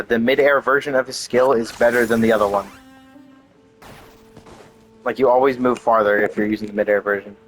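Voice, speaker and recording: Young male streamer: average pitch, casual play-by-play, faint game music behind. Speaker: gaming streamer. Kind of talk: casual gameplay commentary.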